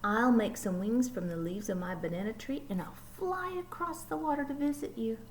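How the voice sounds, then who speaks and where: A woman's voice: fairly high pitch, expressive, normal speed, a little mellow, a little hiss or hum, voice pushed up, lightly acted. A woman voicing George, a hopeful little male chimp full of plans, in an eager exclaiming storybook voice.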